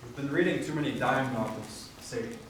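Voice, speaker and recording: A man's voice: mid-range voice, lively intonation, brisk, lightly acted. An actor playing an earnest, stubborn young man, speaking seriously and insistently in a stage musical. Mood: firm. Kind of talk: musical theatre stage acting. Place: staged reading before live audience. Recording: echoey hall, distant mic, noisy.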